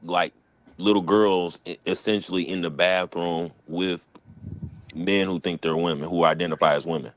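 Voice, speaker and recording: Low-voiced man arguing on a panel. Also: steady tone, moderate pace. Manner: argumentative, emphatic. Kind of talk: heated debate.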